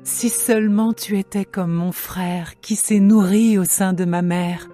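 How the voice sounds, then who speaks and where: Slow, tender young woman in love voiced by a woman. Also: medium pitch, fairly even intonation, dark tone, soft background music, close to an everyday voice. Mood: longing. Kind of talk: dramatised scripture reading.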